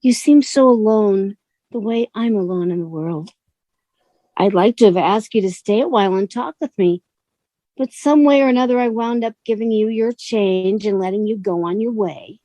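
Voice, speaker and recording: An actress playing a gentle, lonely bookshop woman, earnest and shyly admiring, in a radio suspense drama. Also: medium pitch, normal speed, no echo, close to an everyday voice. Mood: wistful, tender. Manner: soft-spoken, earnest, flattering.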